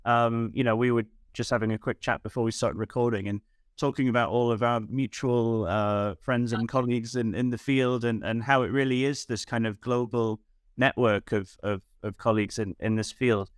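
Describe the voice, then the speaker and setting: A man's voice: medium pitch, steady tone, normal speed, smooth, dry recording, studio quality. Stammering man hosting a podcast. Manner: stammering repeats of small words. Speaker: podcast host. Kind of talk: casual interview chat.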